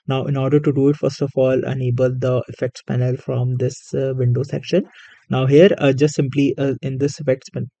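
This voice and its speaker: A man narrating a casual software tutorial as its host, speaking haltingly with frequent hesitations. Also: mid-range voice, little pitch movement, gravelly, no room sound.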